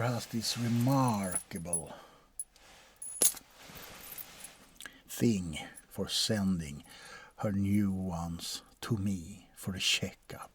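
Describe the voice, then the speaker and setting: A man playing a bored, sardonic, centuries-old vampire doctor in a soft-spoken, deadpan ASMR role-play, often slipping into a whisper. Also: low voice, breathy edge, no echo, exaggerated voice. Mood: weary, deadpan. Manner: deadpan, hesitant, drawn-out, often dropping to a whisper.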